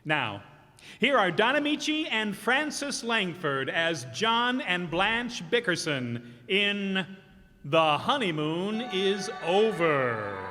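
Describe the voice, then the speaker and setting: Bright, formal old-time radio announcer man. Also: sits high, sing-song, takes it slowly. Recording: reverberant hall.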